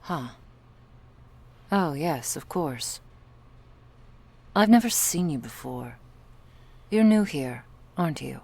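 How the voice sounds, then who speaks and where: A woman's voice: low-pitched, lively intonation, slow pace, creaky, pauses often, warm tone, breathy edge, exaggerated voice. A woman playing a stern, protective female vampire bodyguard in an ASMR roleplay, cool and clipped, often close to a whisper. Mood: surprised, curt.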